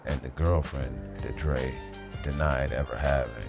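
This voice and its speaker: Very deep, slow noir detective. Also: male voice, fairly even intonation, creaky, exaggerated voice. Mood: brooding, suspicious. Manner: brooding, terse, deliberate. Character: hard-boiled noir police detective narrating his case. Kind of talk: noir audio drama narration. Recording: loud music bed behind the voice.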